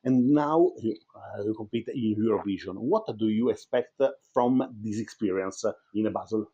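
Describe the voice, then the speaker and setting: A man's voice: lowish voice, normal speed, mellow, breathy edge, highs cut off. A man interviewing a singer, asking his questions hesitantly with many fillers.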